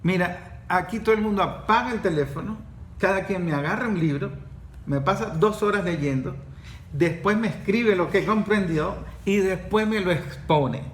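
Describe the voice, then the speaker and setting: A middle-aged Venezuelan man with a high, light voice, chatting in a casual podcast. He tells his points animatedly and often starts his sentences over. The room is roomy and echoey, with a faint hiss behind him. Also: moderate pace. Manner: animated storyteller with frequent restarts. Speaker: podcast host and course facilitator.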